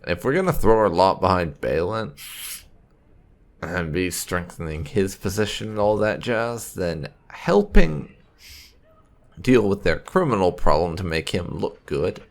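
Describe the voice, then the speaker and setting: A man giving roleplay commentary on a video game, thoughtful and deliberate, voicing his lines in between remarks. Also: deep voice, lively intonation, creaky. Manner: thoughtful, deliberate, reading character dialogue aloud. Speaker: gaming channel host. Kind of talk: roleplay let's play commentary.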